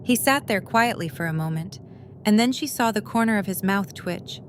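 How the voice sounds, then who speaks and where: A female narrator reads scripted story passages for an audio drama. Her pitch is average, and there is faint noise behind the voice. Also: lively intonation, normal speed.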